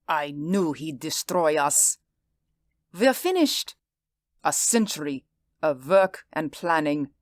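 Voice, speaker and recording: A broken, vengeful male official, as a narrator plays him in a storybook reading. He talks in a trembling, strained and bitter way, pitched well above the narrator's own deep voice. His timbre sounds pinched and unlike the plain reading voice. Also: big pitch swings, takes it slowly, pauses often, dry recording, clean studio sound. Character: shaken, furious male official, desperate and vengeful.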